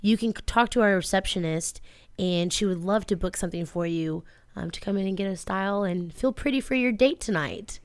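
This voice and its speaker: Woman, smooth crisp voice, casual radio chat, mid pitch, dry close sound. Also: a little fast, dry recording. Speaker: radio show co-host and hairstylist. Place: radio studio.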